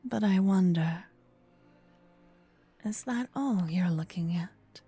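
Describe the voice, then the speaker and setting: A woman voicing a gentle, possessive old female vampire in an ASMR roleplay, murmuring and whispering close to the microphone. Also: fairly low pitch, faint music behind, exaggerated voice. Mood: seductive, musing. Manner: breathy, slow whispering and murmuring. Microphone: close binaural microphone.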